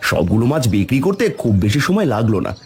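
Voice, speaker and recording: A man voicing an honest, hardworking poor fisherman in a cartoon story, gentle but worried. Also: medium pitch, sing-song, fast talker. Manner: warm, worried. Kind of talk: cartoon voice acting.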